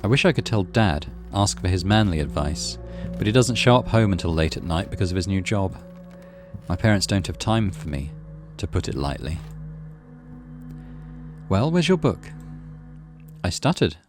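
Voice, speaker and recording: A man reading visual novel narration as a gaming host, in an expressive, dramatic way. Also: sits low, fairly quick. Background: music.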